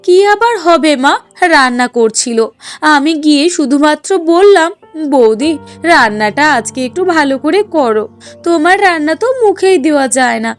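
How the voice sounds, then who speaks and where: A woman's voice: high voice, lively intonation, moderate pace, faint music behind. A woman voicing a spiteful, whiny young sister-in-law in a cartoon, scolding and demanding. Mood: indignant, complaining. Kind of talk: Bengali cartoon dialogue.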